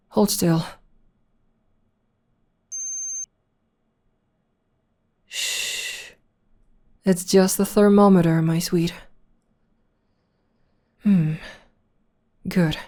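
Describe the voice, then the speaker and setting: A woman's voice: fairly low pitch, dark tone. A woman playing a theatrical female supervillain in an ASMR roleplay, arch and teasing, coaxing and tender with a beloved enemy. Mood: chiding, caring. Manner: arch, unhurried, coaxing, mock-grand.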